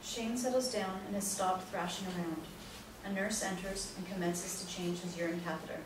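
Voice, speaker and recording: A woman's voice: on the low side, fairly even intonation, moderate pace. Very echoey, distant woman narrator. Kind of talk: scripted stage-direction narration. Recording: very echoey, distant, noisy room recording.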